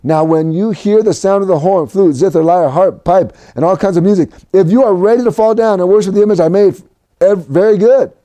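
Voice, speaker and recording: A man from Mexico preaching a sermon for an online service, animated and rising to shouts at his peaks. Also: high-pitched, brisk. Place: home recording for a virtual service.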